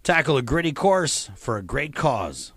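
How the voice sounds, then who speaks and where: A man anchoring a local TV sports segment in an energetic, exclamatory, punchy style. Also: medium pitch, a little slow, husky. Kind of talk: upbeat sports newscast.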